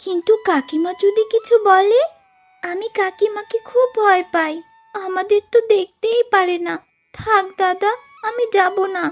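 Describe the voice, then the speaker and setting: Very high-pitched wistful little girl. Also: a little slow. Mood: wistful, timid. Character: poor little village girl, wistful and hungry. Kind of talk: cartoon fairy tale voice acting.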